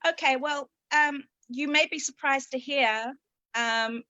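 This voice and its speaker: A woman's voice: sits high, takes it slowly, pushed and bright, no echo, lo-fi sound. A middle-aged woman from Israel, a copywriter, answers interview questions over a video call in a chatty, upbeat way with frequent ums. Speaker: guest, copywriter.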